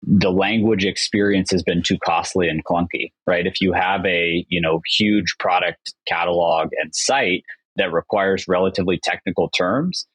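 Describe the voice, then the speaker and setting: A podcast guest with a low, husky voice. He talks with upbeat enthusiasm in long, flowing sentences. The sound is dry and close-miked. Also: fairly even intonation, moderate pace, fairly bright tone, no room sound, rough recording. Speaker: agency founder, podcast guest. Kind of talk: casual interview chat.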